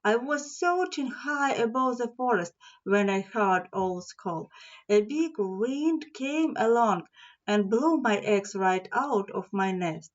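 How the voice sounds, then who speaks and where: Storybook mother eagle, commanding, protective, unhurried, woman reader. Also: on the high side, expressive, muffled recording. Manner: sharp, insistent.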